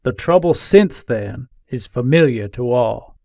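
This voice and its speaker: A man playing a soft-spoken, modest but determined Old West gunfighter in a dramatic reading of an old interview. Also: medium pitch, lively intonation, measured pace, dry recording, studio quality. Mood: grave, measured.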